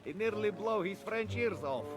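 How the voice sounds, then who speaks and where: An actor playing a jovial, mocking medieval soldier with a foreign accent and broken English in a video game cutscene. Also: male voice, high voice, expressive, a little slow, music in the background. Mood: amused, mocking.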